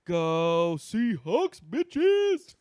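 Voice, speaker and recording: High-voiced man chatting on a podcast. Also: wildly swooping pitch, very slow, darkish tone, no echo, clean studio sound. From US Northwest. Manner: loose, rambling, many fillers and restarts. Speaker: podcast co-host. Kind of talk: casual podcast chat.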